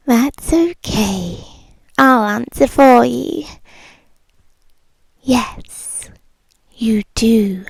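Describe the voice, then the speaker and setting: British woman acting as a teasing yandere bartender girlfriend for ASMR roleplay; high, very slow, breathy and whispery, close-miked. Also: darkish tone, no room sound, full character voice. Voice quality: breathy, often dropping into whisper. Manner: slow, teasing, lingering pauses. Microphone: close-up ASMR microphone.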